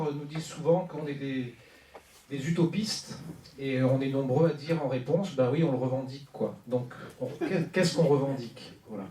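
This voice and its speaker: A man's voice: medium pitch, dark tone, slight background noise. A man organizing a meeting, introducing a guest speaker with many hesitations. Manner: hesitant, many fillers and restarts. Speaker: organizer and moderator. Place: conference room. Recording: roomy hall, far from mic.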